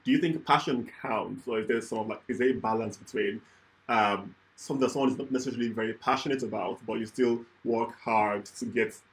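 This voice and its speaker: A man's voice: medium pitch, a little fast, low-quality recording. A man hosting an interview, asking long, hesitant questions with "um" and "sort of" hedges. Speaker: host.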